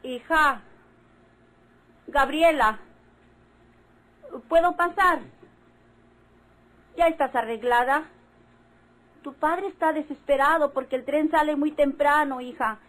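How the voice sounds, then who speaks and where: A high, emotional woman's voice as a pleading Mexican mother and wife. She speaks in the heightened style of golden-age radio melodrama, always imploring. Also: slow, long pauses, fairly bright tone, poor audio. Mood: anxious calling.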